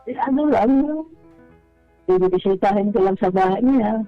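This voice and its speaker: A man calling a radio show, telling a personal story casually, politely and haltingly. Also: high-pitched. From Bohol, Philippines. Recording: dull, band-limited phone call. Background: music.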